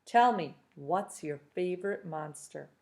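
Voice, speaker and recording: Woman reader doing a sly, jittery storybook trickster man, smooth and coaxing. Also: lowish voice, expressive, measured pace, darkish tone. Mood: scheming. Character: twitchy mischievous male trickster sibling.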